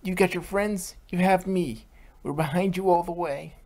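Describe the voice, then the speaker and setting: Brief: a woman playing a folksy older grandmother mare, lowish voice, encouraging. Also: normal speed, a little mellow.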